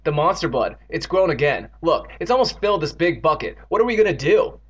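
A man's voice: fairly high pitch, very animated, brisk, breathy edge. Man doing a whiny, panicky storybook boy. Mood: exasperated. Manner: whiny, dramatic, exasperated. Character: panicky, exasperated young boy in a horror story. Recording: dull, muffled lo-fi sound.

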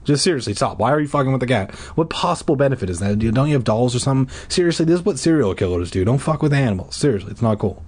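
A man's voice: medium pitch, animated, rapid-fire, few pauses, a little mellow, husky, voice pushed up. A man voicing an exasperated young boy in a comic storybook reading, whiny, urgent and sweary. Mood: annoyed.

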